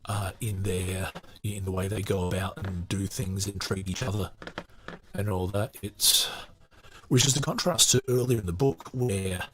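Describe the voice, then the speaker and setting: A man's voice: sits low, steady tone, unhurried, a bit bright. A man hosting a podcast, reading a book aloud and giving casual commentary on it. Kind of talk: audiobook narration and casual commentary.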